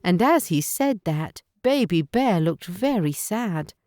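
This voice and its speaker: A woman narrating a children's bedtime story in careful, expressive phrases. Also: lowish voice, slow, no room sound, studio quality.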